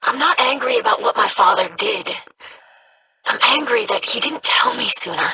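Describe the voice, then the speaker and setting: A woman's voice: fairly high pitch, lively intonation, normal speed, pushed and bright, breathy edge, heavily acted, poor audio. An actress playing an earnest, polite young female alien scholar. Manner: polite, formal.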